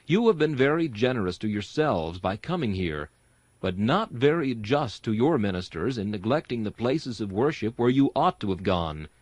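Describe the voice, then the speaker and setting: A man's voice: medium pitch, sing-song, moderate pace, dry recording. A man giving an earnest, rhetorical reading of a Victorian sermon, exhorting his listeners. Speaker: sermon reader and host.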